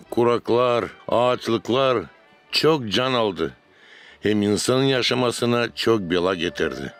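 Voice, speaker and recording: A man voicing a wise, reassuring older family man in an animated cartoon, telling history solemnly. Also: lowish voice, close to an everyday voice. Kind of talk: solemn educational storytelling.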